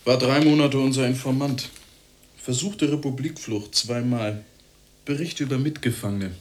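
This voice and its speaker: A man's voice: mid-range voice, steady tone, normal speed, slight background noise, natural voice. An actor playing a cold, matter-of-fact male East German secret police officer in a radio drama.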